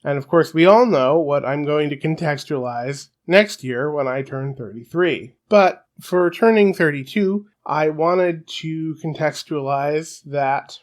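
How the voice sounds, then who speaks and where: High-voiced man in his thirties hosting. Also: measured pace, hardly any pauses. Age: 30s. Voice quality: high, boyish voice. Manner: chatty, self-deprecating, restarts phrases. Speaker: puppeteer host. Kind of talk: casual solo video chat.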